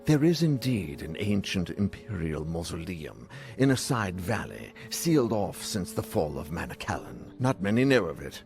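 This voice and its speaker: Deep-voiced, formal town lord, animated. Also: male voice, moderate pace, vocal fry, few pauses, over music, full character voice. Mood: grave, courteous. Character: formal noble lord governing a frontier town. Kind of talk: video game cutscene acting.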